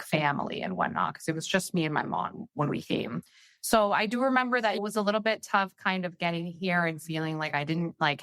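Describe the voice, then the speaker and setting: A woman with a low-pitched voice, from Peru and a native Spanish speaker, talks as a podcast guest. She chats freely, leaning on "like" between thoughts, and the sound is close and dry. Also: a bit bright, no room sound. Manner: chatty, peppered with "like" fillers. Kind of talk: casual interview chat.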